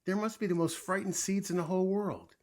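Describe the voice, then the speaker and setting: A man voicing an excitable, anxious male toad from a children's storybook, exclaiming in a dramatic, fretful way. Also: high-pitched, a little fast, pitched above the performer's own voice, lightly acted. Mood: exasperated.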